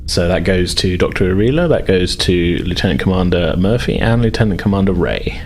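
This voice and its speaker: Low-voiced male game master, noisy recording. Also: animated, brisk. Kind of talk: narrating a tabletop role-playing game.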